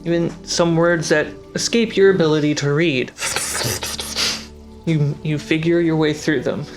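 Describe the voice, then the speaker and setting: A game master narrating a tabletop fantasy game with deliberate dramatic pauses. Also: medium pitch. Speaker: game master and show host. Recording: background music and rain sounds under voice.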